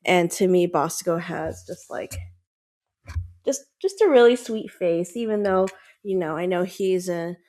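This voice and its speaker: A woman's voice: lowish voice, unhurried, dark tone. A woman chatting casually as a podcast guest, rambling and affectionate, with many fillers. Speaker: podcast guest, dog owner. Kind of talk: casual chat.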